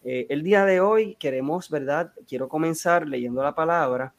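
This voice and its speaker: A man's voice: a little high, normal speed. A man hosting a podcast interview, talking hesitantly with many fillers and tag questions. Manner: hesitant, frequent fillers and "¿verdad?". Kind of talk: casual interview.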